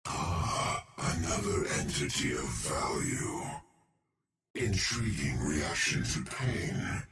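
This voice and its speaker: A man's voice: big pitch swings, heavily acted. An actor voicing a male alien eyeball creature, a cold, curious scientist who speaks in detached, ominous pronouncements. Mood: coldly clinical. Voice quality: deep, slow, gravelly and clinical. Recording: roomy game audio with crowd noise behind.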